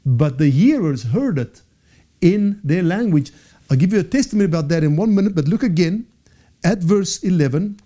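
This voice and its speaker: Afrikaans-native male teacher, 40s, high mellow voice, earnest and self-correcting, studio lapel mic. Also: normal speed. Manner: earnest, animated hand gestures, frequent self-corrections. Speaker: Bible teacher. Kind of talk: teaching session to camera. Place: studio with dark backdrop.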